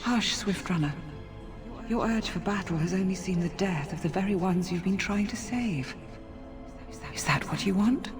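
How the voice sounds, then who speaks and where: Woman as a serene forest spirit, fantasy game, breathy, formal, regal, prominent music behind. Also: medium pitch, animated, close to an everyday voice. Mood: stern. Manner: measured, formal, solemn. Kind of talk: fantasy video game acting.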